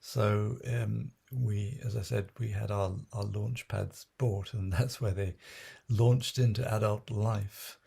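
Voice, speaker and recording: Older guy telling his memoirs, low gravelly voice, kinda husky, lots of ums and restarts. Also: fairly even intonation, warm tone. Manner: halting, many fillers and restarts. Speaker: retired Anglican priest recounting his memoirs. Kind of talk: unscripted reflective memoir narration.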